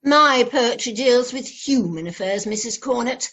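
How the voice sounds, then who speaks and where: A woman's voice: on the high side, animated, unhurried, a bit bright, husky, faint music behind, heavily acted. A woman acting an aloof, acid-tongued lady poet in an amateur radio play, superior and formal. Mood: disdainful.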